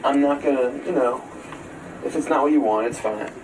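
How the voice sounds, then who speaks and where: A man in casual conversation, speaking in a halting, self-qualifying way on a candid tape. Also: mid-range voice. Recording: distant, noisy candid audio tape.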